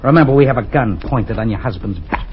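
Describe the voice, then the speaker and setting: A man's voice: medium pitch, animated, fast talker, a little mellow, slight background noise, close to an everyday voice, rough recording. An actor playing a cold, threatening gangster who takes a man hostage, in old-time radio acting. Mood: menacing. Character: menacing male gangster holding a hostage.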